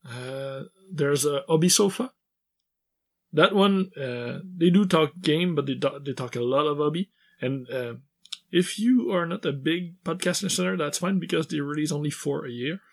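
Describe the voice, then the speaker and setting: A man co-hosting a hobby podcast, easygoing and quick to laugh. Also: a little high, creaky. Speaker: podcast co-host. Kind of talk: casual chat.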